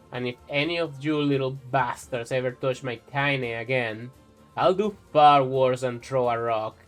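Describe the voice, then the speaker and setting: A man's voice: lowish voice, fairly bright tone. A man voicing a fierce, tough old village grandmother who talks in a coarse, blunt, scolding way.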